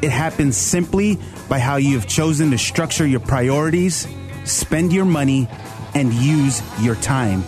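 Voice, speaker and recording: A man's voice: mid-range voice, measured pace. A man reading a scripted promotional introduction for a radio show. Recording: voice over loud guitar music. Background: guitar music.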